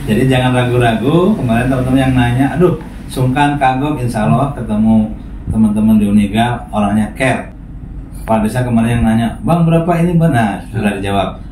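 A man's voice: mid-range voice, fairly quick, mellow. A man in his forties hosting an interview, chiming in with short acknowledgements and speaking to the audience. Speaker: host. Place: small office room, indoors. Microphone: camera across the room. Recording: echoey room, far from mic, noisy.

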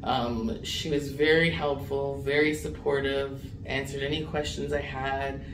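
A woman's voice: rumbling low, level tone, a little slow. A woman practicum student describing her mentor teacher enthusiastically, with fillers. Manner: warm, enthusiastic praise with fillers. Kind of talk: interview answers. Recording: distant roomy sound with clear hiss.

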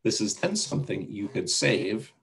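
A man with a gravelly voice presenting a product demonstration and answering questions on a video call. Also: lowish voice, sing-song, normal speed. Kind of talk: webinar demonstration and Q&A. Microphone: video call microphone.

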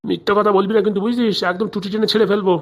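Woman as a fast, blustering male village politician. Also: mid-range voice, fairly even intonation, highs cut off, close to an everyday voice. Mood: angry, threatening. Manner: threatening, scolding, colloquial. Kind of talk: comic cartoon dialogue.

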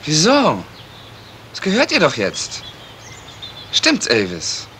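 An actor playing a lazy, teasing young fiancé in a 1970s radio crime drama, with a flippant, mocking way of talking. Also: male voice, fairly high pitch, huge pitch swings, takes it slowly, full character voice. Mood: needling. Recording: slightly noisy vintage radio recording.

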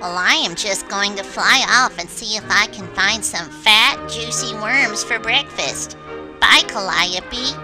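A woman's voice: medium pitch, measured pace, lightly acted, low-quality recording. A woman voicing a friendly, polite male bird with a cheery manner in a children's show, with music behind. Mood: cheerful. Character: friendly, chirpy male early-rising bird.

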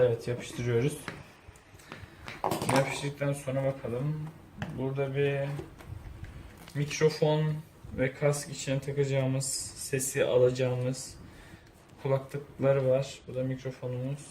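A man giving a casual unboxing review, thinking aloud in an informal way. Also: mid-range voice. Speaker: product reviewer. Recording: roomy echo, faint background noise.

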